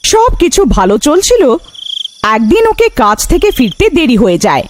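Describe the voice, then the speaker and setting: A woman's voice: high voice, wildly swooping pitch, normal speed, a little hiss or hum. A woman narrating a scripted horror folk tale as a storyteller.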